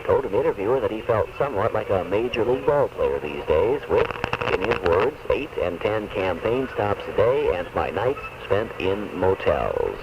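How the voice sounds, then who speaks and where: Deep male local newsreader, noisy radio. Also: very animated, normal speed. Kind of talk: scripted news reading. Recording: distant, muffled, noisy AM radio recording.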